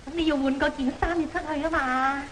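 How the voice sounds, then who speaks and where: An actress playing a prim, pouting young wife from a genteel household in an old Cantonese opera film, coy and scolding in a theatrical way. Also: female voice, high voice, steady tone, brisk, long reverb tail, noisy background, close to an everyday voice.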